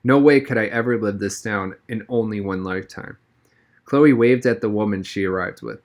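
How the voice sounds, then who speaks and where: A man's voice: fairly low pitch, moderate pace. Man reading a storybook aloud. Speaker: reader of a children's novel. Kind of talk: storybook read-aloud narration.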